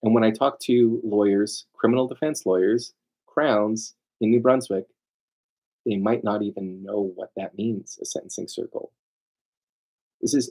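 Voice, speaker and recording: A man's voice: lowish voice, gravelly, warm tone, low-quality recording. A man giving an informal lecture as a lawyer, emphatic and digressive, often throwing rhetorical questions at his listeners. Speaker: lawyer presenting a seminar.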